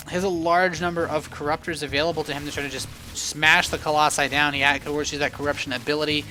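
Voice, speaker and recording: Fast, bright-voiced male esports commentator. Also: on the high side. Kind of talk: live game commentary.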